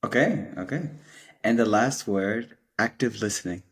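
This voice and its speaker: Podcast host guy, lowish voice, says okay okay a lot. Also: lively intonation, measured pace, husky. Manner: repeats short acknowledgments. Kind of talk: casual podcast interview.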